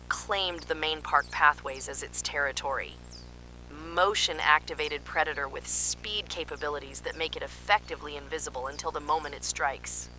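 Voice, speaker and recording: A woman's voice: sits low, sharp tone, a little hiss or hum, poor audio. A woman playing an alarmed park scientist, giving urgent, clinical field reports in an analog horror drama. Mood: grim, disturbed. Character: alarmed female park scientist transmitting desperate emergency warnings.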